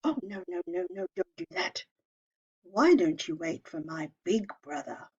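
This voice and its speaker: A middle-sized billy goat frets in a high, light voice, as a woman reading a storybook puts him on. The voice is breathy, and a dull lo-fi recording carries it. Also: sing-song, measured pace, dark tone, full character voice. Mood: fretful.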